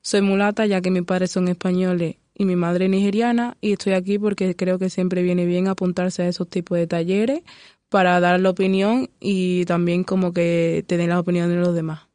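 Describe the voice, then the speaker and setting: A woman's voice: on the low side, level tone, normal speed, dark tone, no echo. An eighteen-year-old woman giving brief, plain answers on a podcast panel. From Spain. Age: teens. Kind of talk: podcast discussion.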